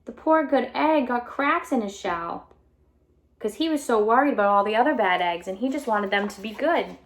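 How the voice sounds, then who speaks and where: A woman's voice: on the high side, lively intonation, moderate pace. A woman reading aloud to young children as a storyteller, explaining the book in simple, repetitive phrases. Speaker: read-aloud teacher or storyteller.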